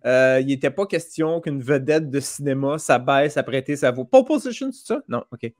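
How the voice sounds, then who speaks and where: A man's voice: mid-range voice, expressive, moderate pace, a little mellow. A man with a Québécois French accent talking casually and emphatically, in colloquial speech, as a panelist in a group chat. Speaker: panelist on a pop culture show.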